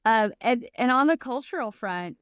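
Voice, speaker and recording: A woman's voice: on the high side, expressive, moderate pace, clean tone, no room sound, clean studio sound. A woman hosting an interview, asking questions and affirming her guest. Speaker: podcast host.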